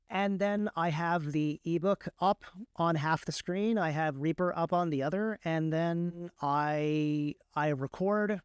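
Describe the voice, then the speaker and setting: A man's voice: on the high side, darkish tone, clear, resonant tone, dry recording, clean studio sound. A man speaking casually as an interview guest, explaining his craft and often repeating words mid-sentence. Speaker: guest, author and audiobook narrator.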